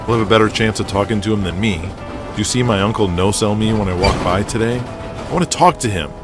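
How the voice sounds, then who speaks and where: A man's voice: fairly low pitch, fairly quick, music in the background, natural voice. A man voicing a frustrated, outspoken young wrestling crew member in casual audio drama dialogue. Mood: frustrated, questioning. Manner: griping, casual.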